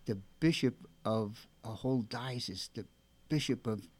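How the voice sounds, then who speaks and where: A man's voice: medium pitch, a little slow, dry recording. Effusive man hosting religious radio. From Brooklyn, New York. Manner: effusive, gushing. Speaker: radio show co-host.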